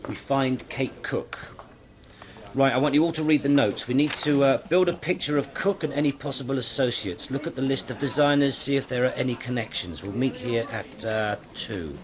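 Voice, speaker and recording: An actor playing a stern British senior detective in a radio drama, with a clipped, commanding delivery. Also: male voice, medium pitch, moderate pace, crowd noise, lightly acted. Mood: businesslike. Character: stern, authoritative male senior police detective briefing his team. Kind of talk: BBC radio drama acting.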